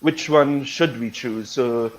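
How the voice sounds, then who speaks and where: A man's voice: medium pitch, slow, poor audio. A middle-aged man hosting a conversational online English lesson, phrasing things hesitantly with tag questions. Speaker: English teacher, webinar host.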